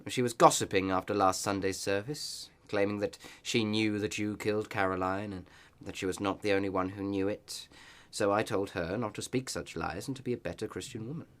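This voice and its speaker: An actor in a radio play playing a loyal village reverend, with a measured, eloquent and wry manner. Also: male voice, fairly low pitch, fairly even intonation, close to an everyday voice. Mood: concerned, wry. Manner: measured, eloquent, gently sly.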